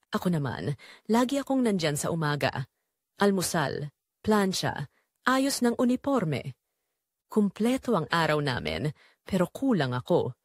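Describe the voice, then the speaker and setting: Woman acting a confessional Filipino wife, lively intonation. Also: medium pitch, no echo, clean studio sound. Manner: confessional, measured, reflective. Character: Melissa, unhappy wife and mother confessing her betrayal. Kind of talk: first-person scripted audio drama narration.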